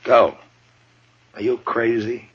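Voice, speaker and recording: An actor playing a tough, weary, terse frontier marshal with an American accent in a 1950s radio western. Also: male voice, mid-range voice, flat delivery, takes it slowly, husky. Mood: stern, exasperated. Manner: terse, commanding, sardonic. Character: tough, weary Old West frontier marshal, dryly wry. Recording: dull 1950s radio broadcast recording.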